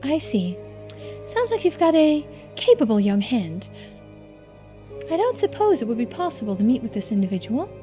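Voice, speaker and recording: A woman acts out a genteel housewife who guards occult secrets in a story game. Her voice is high and lilting, her words formal and quietly persuasive, with clear music behind her. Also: sing-song, moderate pace. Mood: guarded. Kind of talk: video game dialogue read aloud.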